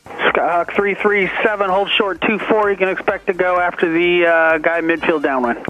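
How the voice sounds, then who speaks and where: A man working as an air traffic controller, giving clipped radio instructions with the odd relaxed aside. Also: sits high, moderate pace, muffled recording.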